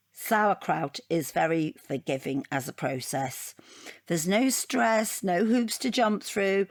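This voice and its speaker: An actress playing an opinionated, defensive art student in a comic audio drama, frank and matter-of-fact. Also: female voice, mid-range voice, dry recording, studio quality. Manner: rambling, matter-of-fact, self-justifying.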